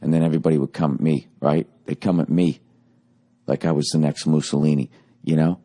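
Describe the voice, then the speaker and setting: A man in his forties with a Boston accent, ranting sarcastically and profanely in a rambling comic monologue, his voice going a little hoarse. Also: very deep voice, steady tone, a little fast, mellow. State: voice getting hoarse. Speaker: podcast host.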